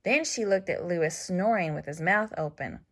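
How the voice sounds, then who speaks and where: A woman reading a children's story aloud as scripted narration, with expressive, deliberate phrasing for young listeners. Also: lowish voice. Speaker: storybook reader.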